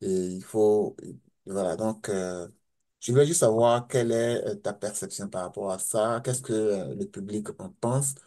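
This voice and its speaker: A man's voice: lowish voice, a little slow, dark tone. A man asking a hesitant question from the floor, with many fillers. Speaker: audience member asking question.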